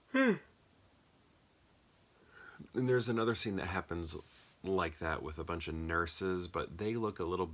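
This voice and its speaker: A man giving a casual, off-the-cuff film review, rambling and often pausing to search for words. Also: very deep voice, fairly bright tone.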